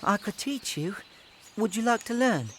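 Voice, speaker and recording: An actor plays a patient, good-hearted village boy in a period audio drama. The voice is lively and varied yet gentle and encouraging, with faint noise behind it. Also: mid-range voice, normal speed, close to an everyday voice. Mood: patient, helpful. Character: kind, patient, diligent village boy named Denton. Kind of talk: historical audio drama acting.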